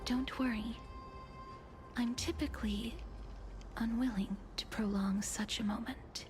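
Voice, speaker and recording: Actress as a slow, soft-spoken solemn young maiden. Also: female voice, fairly high pitch, steady tone, close to an everyday voice. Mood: serene, formal. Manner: formal, measured, hesitant. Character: gentle, solemn young holy maiden burdened by a death curse. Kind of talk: scripted English video game voice acting. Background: game music.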